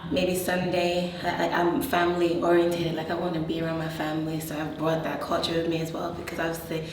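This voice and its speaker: A woman's voice: deep voice, monotone, fairly quick. A woman originally from America, chatting casually as a guest in a group discussion. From United States. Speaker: panel guest. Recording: echoey room, distant mic, chatter behind.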